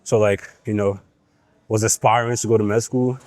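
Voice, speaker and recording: A man's voice: on the low side, steady tone, moderate pace, darkish tone, no echo. A young man with a cold and a nasal voice, talking bluntly to the camera between sets in a gym. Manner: blunt, profane, pep-talking. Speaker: fitness vlogger. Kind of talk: casual gym vlog talk.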